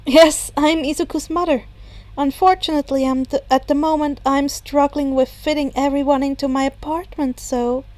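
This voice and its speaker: A woman voicing a kindly mother in a gentle, polite, slightly flustered manner for a story. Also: high voice, fairly even intonation, normal speed, no echo, natural voice. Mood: kindly, apologetic. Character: kindly, softly chuckling mother of a teenage boy.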